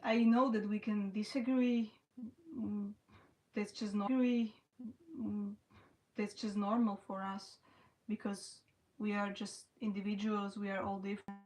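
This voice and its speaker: A young woman from Slovakia, chatting casually on a livestream in halting sentences full of restarts and "you know". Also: medium pitch, level tone, a little mellow, poor audio. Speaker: livestream host. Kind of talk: casual livestream chat answering viewers.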